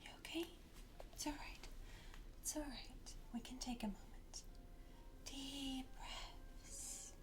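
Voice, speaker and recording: Slow, whispering woman, soothing ASMR groomer. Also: high-pitched. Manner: soothing, gentle, encouraging. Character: gentle, reassuring woman pet groomer. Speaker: ASMR roleplayer playing a pet grooming stylist. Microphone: close ASMR microphone.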